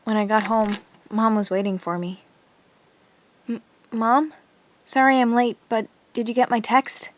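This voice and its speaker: A woman's voice: on the high side, steady tone, a little slow, long pauses, lightly acted. A woman playing an earnest, observant teenage girl who narrates her diary in an audio drama. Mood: bright, earnest.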